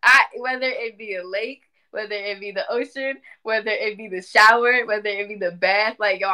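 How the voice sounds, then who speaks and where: A woman in her twenties speaks casually to an online group in a bright, forceful voice at a middle pitch. She is animated and emphatic, circling back to repeat key phrases as she makes her point. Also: clear, resonant tone, rough recording. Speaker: spiritual community speaker. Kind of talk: casual livestream talk. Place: indoor room at home.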